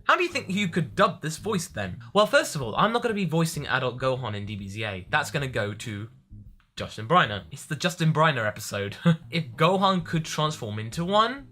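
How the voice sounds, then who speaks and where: A man's voice: a little high, big pitch swings, brisk, pushed and bright. A man speaking expressively as a vlogger answering viewer questions, stressing and stretching words for emphasis. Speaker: vlogger and anime parody voice actor.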